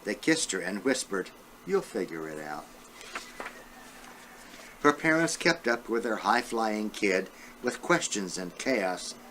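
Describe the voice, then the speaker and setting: A man's voice: on the low side, expressive, takes it slowly, pauses often, fairly bright tone, a little hiss or hum, low-quality recording. An elderly man reading a children's storybook aloud, talking directly to his young listeners as a great-grandfather would. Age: 70s or older.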